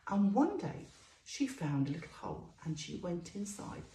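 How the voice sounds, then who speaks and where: Female children's storyteller, very lively sing-song delivery, drawn-out key words, roomy echoing recording. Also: on the low side. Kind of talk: storytelling to young children with questions and phonics.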